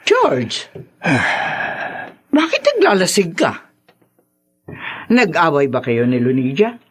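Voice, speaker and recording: An actress playing a cruel, haughty older matriarch of a rich family in a radio drama, imperious and scornful. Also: female voice, low-pitched, huge pitch swings, slow pace, breathy edge, exaggerated voice. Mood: questioning. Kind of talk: Tagalog radio drama acting.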